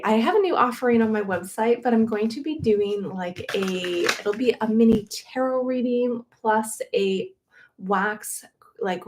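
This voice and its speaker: A woman with a soft voice, chatting casually to viewers as a tarot reader hosting a livestream, close to her microphone and rambling with many fillers and restarts. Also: medium pitch. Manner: rambling, with many "um"s and "like"s and self-corrections. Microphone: new microphone close to her.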